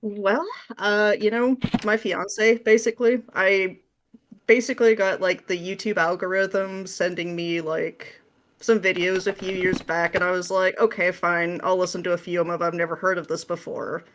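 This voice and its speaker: A woman being interviewed as a guest, chatting casually in a jokey, animated manner. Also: fairly low pitch, moderate pace, slight background noise. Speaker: podcast guest, script writer.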